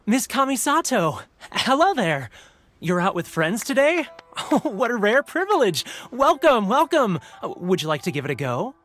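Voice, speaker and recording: A woman's voice: a little high, big pitch swings, measured pace, a bit bright, exaggerated voice. A woman playing a cheerful, deferential festival stall keeper in a video game, effusive and welcoming.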